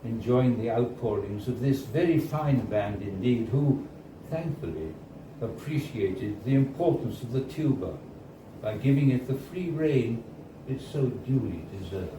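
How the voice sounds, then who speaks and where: A man reads a first-person story aloud at a live event in a bar, in a measured storytelling manner with deliberate pauses. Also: medium pitch, expressive. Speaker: reader of a short story. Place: indoors at a bar, live reading. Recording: roomy, distant, noisy live bar recording. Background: clear background noise and room ambience.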